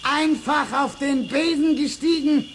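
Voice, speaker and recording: A stern old ruler of the witches, speaks slowly and imperiously, with thundering authority. The vintage radio play recording has clear noise. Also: high voice. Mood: stern, measured.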